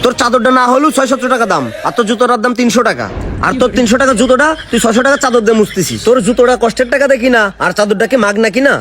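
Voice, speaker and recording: A blunt young male friend played by a man talks extremely fast in a very high voice, mocking and challenging. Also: a bit bright. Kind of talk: comedy skit acting. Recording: music clearly behind the voice.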